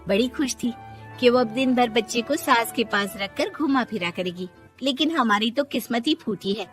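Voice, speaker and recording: A woman plays an eager young wife in a cartoon moral story. Her voice is high, pleading and gushing, with music playing underneath. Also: normal speed, lightly acted. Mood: envious, sulky. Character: young village-born wife Radha, eager, pleading, excitable. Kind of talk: animated storybook character acting.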